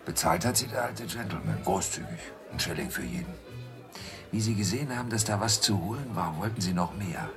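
An actor playing a rough, sly working-class London carter who rambles colloquially and deferentially in a radio drama. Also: male voice, low voice, sing-song, brisk, over music. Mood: chuckling, reminiscing. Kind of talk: German radio drama acting.

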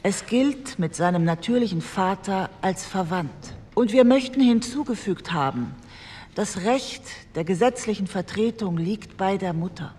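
A woman's voice: mid-range voice, expressive, unhurried, dark tone, natural voice. An actress playing a determined social democrat woman politician of 1948, making a firm, insistent parliamentary speech. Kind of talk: formal parliamentary speech, re-enacted. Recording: long hall reverb, faint background noise.

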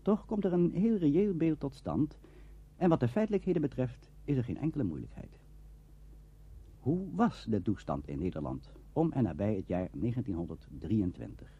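A man narrating a documentary in a formal, measured storytelling manner. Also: medium pitch, big pitch swings, dark tone, husky. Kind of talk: scripted narration. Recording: dull lo-fi archive tape.

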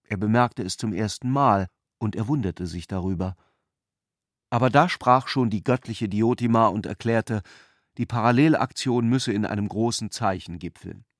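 A man's voice: fairly low pitch, normal speed, dry recording, studio quality. A man reading literary narration as the narrator of a radio play.

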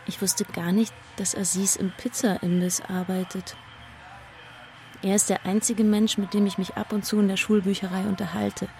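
A woman's voice: medium pitch, little pitch movement, moderate pace, creaky, a little mellow, slight background noise, lightly acted. An actress playing a shy, lonely, grieving teenage schoolgirl in an introspective, hesitant inner monologue for a radio play. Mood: subdued. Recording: music under the voice.

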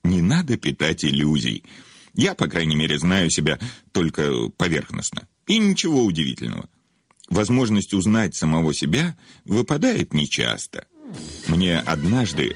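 A man's voice: sits low, gravelly, breathy edge, no room sound, exaggerated voice. A man acting in an audio play as a famous, cynical, hard-drinking aging writer with a hesitant, self-mocking, curt manner.

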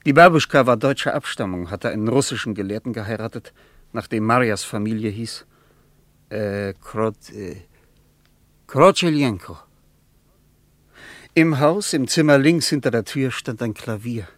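An actor playing a melancholic German former soldier, recalling the past in a halting, wistful way in a radio drama. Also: male voice, mid-range voice, no room sound, close to an everyday voice. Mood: fond. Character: melancholic German ex-soldier revisiting wartime memories. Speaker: character in a radio play.

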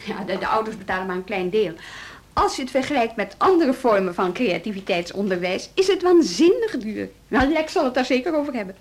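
A woman's voice: a little high, big pitch swings, brisk. A woman playing a well-meaning, conciliatory music teacher in a radio drama, with an informal and slightly hesitant conversational way of talking. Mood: chatty. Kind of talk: naturalistic radio drama dialogue.

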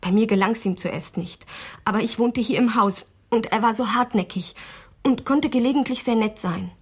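A woman's voice: fairly high pitch, moderate pace, husky. An actress playing a bitter young pregnant woman, terse and resentful, in a radio drama. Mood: subdued.

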